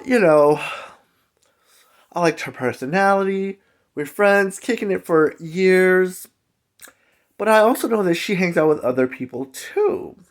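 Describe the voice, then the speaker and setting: Chatty higher-voiced man telling a personal story. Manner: chatty, conversational, emphatic asides. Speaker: storytelling vlogger. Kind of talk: casual personal story time.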